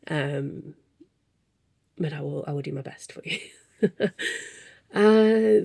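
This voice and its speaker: A woman with a gravelly, husky voice, sounding stuffy as if full of cold. She chats at her home desk into a close desk microphone, rambling and self-deprecating, with soft chuckles between thoughts. Her tone sits low and mellow. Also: no room sound. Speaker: crafting podcast host. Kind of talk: casual chatty monologue.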